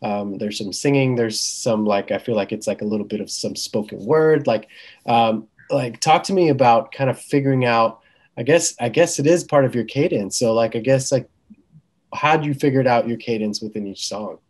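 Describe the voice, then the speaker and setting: A man's voice: mid-range voice, moderate pace, a little mellow. A man hosting an interview, asking loose, rambling questions full of likes and you knows.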